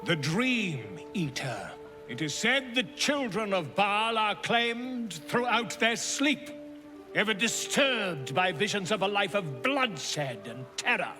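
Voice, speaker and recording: An actor playing a flamboyant, self-important bard in a video game, speaking in a florid, theatrical and chummy manner. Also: male voice, high-pitched, sing-song, slow, music in the background, heavily acted. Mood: ominous, dramatic. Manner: theatrical, florid, grandiloquent storytelling. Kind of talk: video game character acting.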